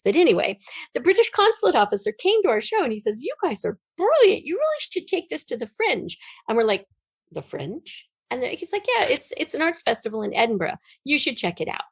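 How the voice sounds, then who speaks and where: A woman's voice: on the high side, big pitch swings, a little fast, husky, no room sound. Middle-aged woman telling stories, dry close sound. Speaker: guest, writer and performer. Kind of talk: conversational interview storytelling.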